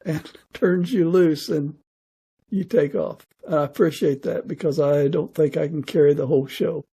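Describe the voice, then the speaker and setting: A man with a fairly high, husky voice hosts an informal faith podcast. His tone is mellow with some creak, and he speaks haltingly and humbly. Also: fairly even intonation. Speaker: podcast host. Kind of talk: informal interview hosting and spoken prayer.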